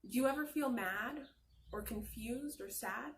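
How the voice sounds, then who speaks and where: A woman's voice: on the high side, measured pace, roomy sound, a little hiss or hum. A woman doing an interactive picture-book read-aloud for children, encouraging them with questions and praise.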